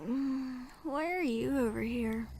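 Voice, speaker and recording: A woman's voice: high voice, slow, darkish tone. A woman playing a playful, teasing, mock-bossy young survivor in a fan audio drama. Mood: drowsy. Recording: clear background noise, ambience.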